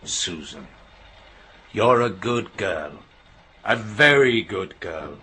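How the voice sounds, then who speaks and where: An actor playing a proud, touchy middle-aged signalman father in a radio drama, gruff and lecturing. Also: on the low side, animated, very slow, bright, forceful tone, heavily acted. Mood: fond, firm. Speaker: railway signalman in a radio play. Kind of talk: naturalistic radio drama acting.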